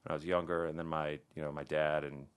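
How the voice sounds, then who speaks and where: A man from the American Midwest, a plastic surgeon speaking as a podcast guest in relaxed casual conversation, full of restarts and fillers. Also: very deep voice, fast talker, clear, resonant tone. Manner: relaxed, conversational, many restarts and "you know".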